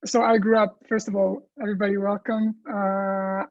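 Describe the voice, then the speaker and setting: A man's voice: high-pitched, flat delivery, normal speed, no room sound. A young man from Tunisia, a comedian and filmmaker, talking earnestly and rambling on a video call. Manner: earnest, rambling, self-correcting. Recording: dull dry lo-fi audio.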